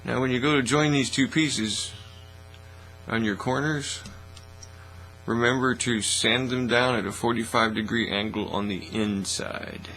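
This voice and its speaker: Folksy guy showing hobby stuff at his workbench, crackly fry in the voice, bit of mains hum. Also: medium pitch. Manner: folksy, unhurried, informal. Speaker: host of a hobby workbench channel. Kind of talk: casual hands-on demonstration. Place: small indoor hobby studio workbench. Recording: steady mains hum, faint noise.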